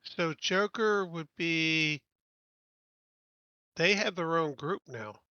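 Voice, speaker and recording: A man talks casually as a player at a tabletop game. His voice sits a little high and has a bright, forward edge. The recording is close and dry, slightly limited in range. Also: slow pace, clean tone, dry recording, highs cut off.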